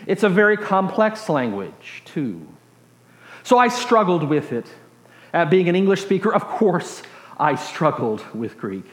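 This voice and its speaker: An American man from Texas preaching through personal stories in a reflective, halting way with dry humorous asides. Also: high-pitched, big pitch swings. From Texas, USA. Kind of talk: sermon storytelling. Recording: echoey room.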